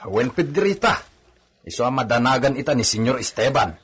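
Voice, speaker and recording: An actor playing a worried, respectful hacienda servant in a radio drama, speaking earnestly. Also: male voice, mid-range voice, sing-song, brisk, fairly bright tone, slightly rough, full character voice. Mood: resigned, concerned. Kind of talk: Ilocano radio drama acting.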